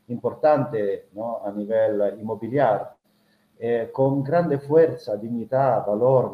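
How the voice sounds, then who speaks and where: A middle-aged man with an Italian accent, a native Italian speaker, telling a personal family story as an interview guest, thoughtful and full of hesitant filler sounds. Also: mid-range voice. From Italy. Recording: echoey, muffled lo-fi recording.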